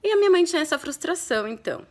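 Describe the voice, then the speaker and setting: A woman in her thirties from Brazil, telling a personal family story to her followers in a candid, reflective way, pausing and restarting often. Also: very high-pitched, very animated. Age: 30s. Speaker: vlogger.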